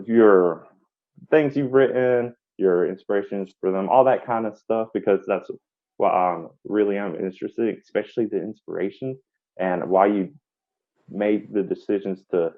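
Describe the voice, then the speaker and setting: A man hosts a casual podcast interview, rambling through his questions with restarts. His voice sits on the lower side. The online call audio is tinny, like a phone line. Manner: rambling, many fillers and restarts. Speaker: podcast host.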